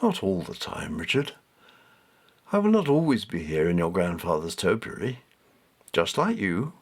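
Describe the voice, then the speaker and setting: Man as a soft, deep, wise talking cat. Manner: reassuring, measured. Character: wise, gentle, immortal male topiary cat companion.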